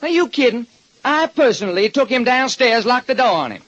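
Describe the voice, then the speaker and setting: An actor playing a police lieutenant who talks loudly and emphatically in a raised voice. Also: male voice, expressive, a little fast. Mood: worried. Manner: loud, emphatic, high-pitched. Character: anxious police lieutenant, boastful then worried. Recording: dull lo-fi old radio broadcast.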